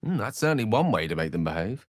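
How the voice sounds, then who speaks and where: Eloquent, expressive male wizard. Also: on the low side, fast talker, no echo, clean studio sound. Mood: wry, amused. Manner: theatrical, articulate, wordy and formal. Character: learned, courtly male human wizard, eloquent and earnest. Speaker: video game voice actor. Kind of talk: scripted fantasy game dialogue.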